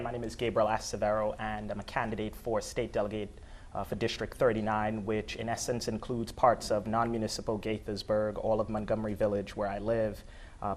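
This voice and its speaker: Man from trinidad running for office, low flat voice, lots of uhs. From Trinidad and Tobago. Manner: steady, many uh fillers. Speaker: political candidate guest. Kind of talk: interview answers.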